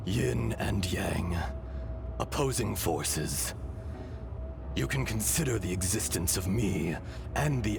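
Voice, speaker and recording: An actor playing a calm, wise dragon-spirit fragment inhabiting an old man in a game, explaining in measured, solemn speech. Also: deep voice, vocal fry, full character voice. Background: game score.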